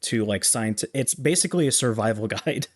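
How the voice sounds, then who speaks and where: A man's voice: medium pitch, lively intonation, fairly quick. A man co-hosting a podcast, discussing film in a casual, explanatory way. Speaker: podcast co-host.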